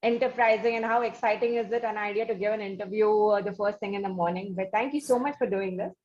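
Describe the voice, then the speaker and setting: A woman's voice: medium pitch, fast, a little mellow. A woman with an Indian accent hosting an interview, speaking in a wordy, effusive, hesitant way. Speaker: interview show host. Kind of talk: conversational interview. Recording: dull, lo-fi audio with background noise.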